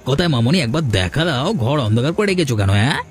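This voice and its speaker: A man's voice: mid-range voice, animated, racing, lightly acted. A man voicing a crude, envious, bossy gang boss in a village folk-tale cartoon. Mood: menacing, gloating.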